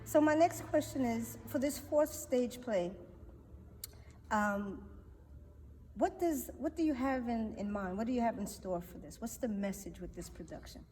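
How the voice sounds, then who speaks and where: Female interviewer, conversational and affirming, somewhat high pitch, echoey room, light background noise. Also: lively intonation, unhurried, dark tone. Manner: encouraging, affirming. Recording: echoey hall, faint noise.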